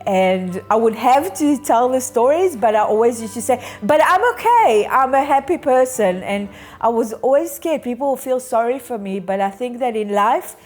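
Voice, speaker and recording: A woman in her fifties giving a reflective, halting and earnest personal account in a documentary interview. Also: on the high side, expressive, a little mellow. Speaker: interviewee, bereaved daughter. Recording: music underneath. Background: music.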